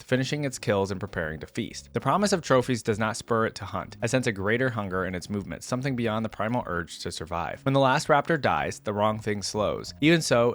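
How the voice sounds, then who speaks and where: Low-pitched man reading and reacting. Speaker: reaction video host. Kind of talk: dramatic story reading with casual asides.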